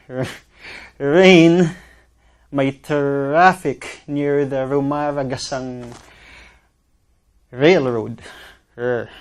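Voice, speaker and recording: A man performing a slow, rhythmic spoken word piece of repeated word lists. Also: medium pitch. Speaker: guest.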